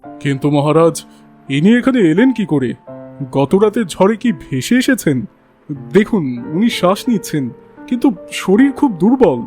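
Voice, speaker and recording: A man's voice: high voice, big pitch swings, normal speed, dark tone, faint music behind. A man playing a deferential, concerned royal attendant in a fairy-tale cartoon.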